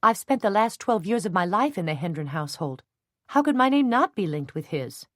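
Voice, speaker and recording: A woman voicing a loyal, fiery young woman who speaks earnestly and turns sharp and indignant. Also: fairly low pitch, lively intonation, moderate pace, no room sound, studio quality, close to an everyday voice. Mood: angry.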